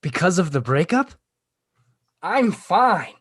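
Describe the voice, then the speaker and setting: Beleaguered high school class president, male actor, defensive and halting, very animated pitch, dry close sound. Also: slightly rough, dry recording, full character voice. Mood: evasive. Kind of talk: comedy script table read.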